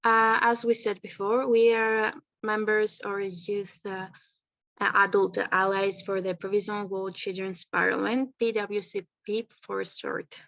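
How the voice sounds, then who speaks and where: A woman from Greece presenting on a video call in a halting, hesitant way, with muffled sound. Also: mid-range voice, flat delivery, gravelly, a bit bright, no room sound. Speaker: youth minister leading a presentation.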